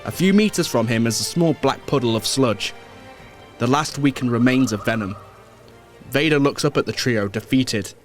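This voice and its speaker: A man's voice: mid-range voice, moderate pace. Man narrating an audio drama over music.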